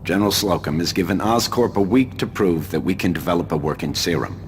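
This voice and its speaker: Man acting a male executive, stern, pressured, low pitch, dramatic video game dialogue, faint rumble. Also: brisk, close to an everyday voice. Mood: stern, pressing. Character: stern corporate executive under deadline pressure.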